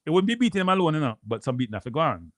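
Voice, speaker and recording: A Jamaican man hosting a live stream, rambling casually to his viewers and repeating himself, with a deep voice. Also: big pitch swings, racing, fairly bright tone, no room sound, clean studio sound. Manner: rambling, repeats phrases, addresses viewers as people. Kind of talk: casual livestream chat.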